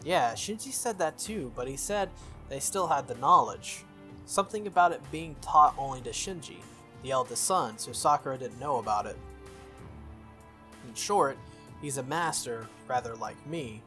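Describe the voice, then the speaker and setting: A man's voice: medium pitch, lively intonation, moderate pace, sharp tone. A man reading the lines of an earnest, good-natured teenage schoolboy from a visual novel. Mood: earnest, explaining. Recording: music behind the voice.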